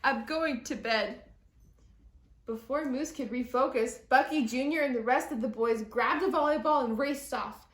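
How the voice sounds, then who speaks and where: A woman reading a picture book aloud to children with deliberate, dramatic, expressive phrasing. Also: high-pitched. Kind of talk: expressive children's story narration. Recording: roomy echo.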